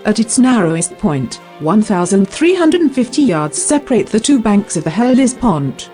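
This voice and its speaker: Lively, mellow-toned female narrator. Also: medium pitch, normal speed, faint music behind. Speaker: documentary narrator. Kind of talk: scripted documentary narration.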